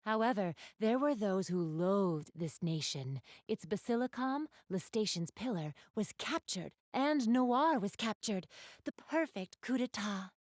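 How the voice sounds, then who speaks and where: A woman's voice: mid-range voice, animated, a little slow, darkish tone, no room sound, clean studio sound, lightly acted. A woman playing an elegant young goddess in an anime video game, speaking to the player with polite, genteel, formal diction and a keen gamer's refinement. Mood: dramatic narration.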